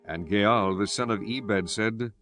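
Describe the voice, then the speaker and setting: A man narrating a dramatized Bible audiobook in measured, solemn storytelling with archaic diction. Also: lowish voice. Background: prominent music.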